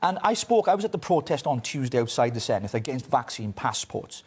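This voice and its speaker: A man's voice: medium pitch, sing-song, breathy edge. A Welsh man giving forthright, opinionated political commentary in a radio interview. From Wales. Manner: forthright, opinionated, quick delivery.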